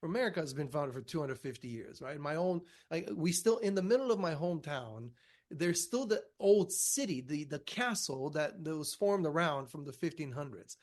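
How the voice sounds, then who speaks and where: A man from Romania speaking as a podcast guest, conversational, haltingly repeating words as he builds his point. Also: fairly high pitch, animated, a little mellow. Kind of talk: conversational discussion.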